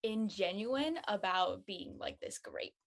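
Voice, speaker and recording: A woman's voice: medium pitch, animated, slow, vocal fry. A young woman talking casually as a panelist. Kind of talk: casual chat.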